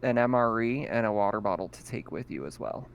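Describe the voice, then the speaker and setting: A man's voice: lowish voice, brisk, vocal fry, clear, resonant tone. Laid-back male game master. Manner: relaxed, chuckling often. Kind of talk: running a tabletop game.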